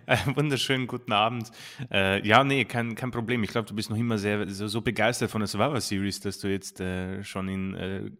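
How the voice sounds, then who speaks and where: A man, co-hosting a podcast in casual chat, halting and full of ums as he thinks aloud. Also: fairly low pitch, fairly even intonation, a bit bright. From Austria. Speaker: podcast co-host.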